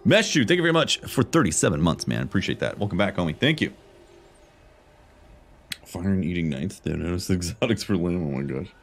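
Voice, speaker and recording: A man doing casual live gameplay commentary, chatting with viewers in a slangy, animated way as he plays. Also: low voice, brisk, a little hiss or hum. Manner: animated, reacts out loud while playing. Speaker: game streamer.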